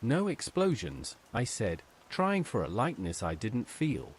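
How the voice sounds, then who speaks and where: Man narrating horror, expressive pitch swings. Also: a little slow, breathy edge. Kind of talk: scripted horror story narration.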